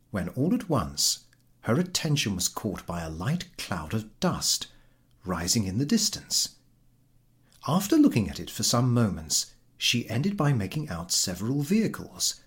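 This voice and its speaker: A man reading a literary story aloud as an audiobook narrator, with measured, theatrical phrasing. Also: on the low side. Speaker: audiobook reader.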